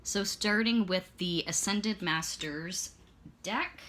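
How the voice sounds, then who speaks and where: A woman's voice: mid-range voice, slow, fairly bright tone. A woman reading tarot and oracle cards in a casual, meandering way, filling her talk with ums and restarts, her voice strained and fading as if she is losing it. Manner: chatty, meandering, with many fillers and self-corrections. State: losing her voice, clearing her throat. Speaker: tarot and oracle card reader. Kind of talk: casual spoken card reading.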